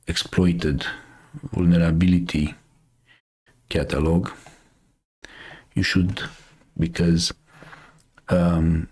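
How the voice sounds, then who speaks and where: A man's voice: deep voice, darkish tone, slightly rough. A man from Romania with a Romanian accent, hosting a live show and chatting casually through the news, thinking aloud with many fillers and restarts. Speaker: host.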